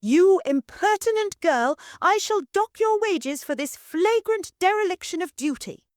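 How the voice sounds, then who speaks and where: Shrieking haughty mother, as a woman reads her, very high, lively swings, dry sound. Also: unhurried, dry recording. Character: shrill snobbish middle-aged mother, domineering.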